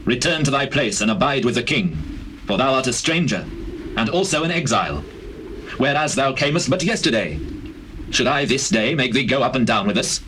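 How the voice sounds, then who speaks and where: A man's voice: medium pitch, lively intonation, fairly bright tone, close to an everyday voice. An actor playing a grave, dignified old king in a dramatized scripture, speaking solemnly and formally with a British accent. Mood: solemn, gracious. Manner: solemn, measured formal speech. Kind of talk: dramatized scripture, classical stage acting. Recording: noisy old cassette tape, birdsong behind.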